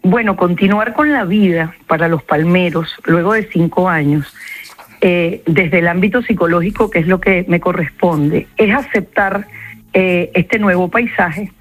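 A woman's voice: on the low side, unhurried, soft background music. A woman with a Venezuelan accent, a psychologist answering interview questions in a reflective way and repeating key words for emphasis.

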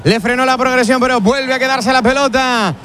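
Bright high-voiced football commentator. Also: male voice, steady tone, slight background noise. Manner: fast, bright play-by-play calls with jokes. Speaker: play-by-play commentator.

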